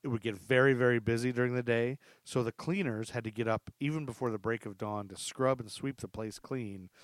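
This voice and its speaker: A man's voice: medium pitch, no echo. A male podcast host narrates in a mid-range voice, moving between reading from notes and casual chat. He is informal and drops in joking asides. The sound is dry, with very little room in it. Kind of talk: true crime storytelling, part read from notes, part casual chat.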